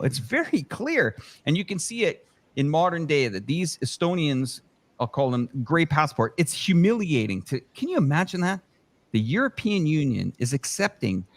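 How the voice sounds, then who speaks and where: A man with a mid-pitched voice speaks as a political commentator on a livestream panel. His delivery is emphatic and impassioned, built on rhetorical questions and points pressed home to the listener. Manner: emphatic, rhetorical questions, acts out imagined exchanges.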